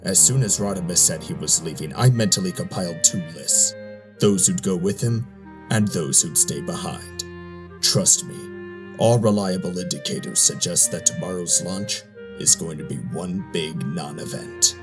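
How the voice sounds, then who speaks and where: Deep-voiced, coldly logical robot strategist played by a man. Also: normal speed, over music, close to an everyday voice. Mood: smug, certain. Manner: precise, clinical, superior. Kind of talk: animated motion-comic dialogue.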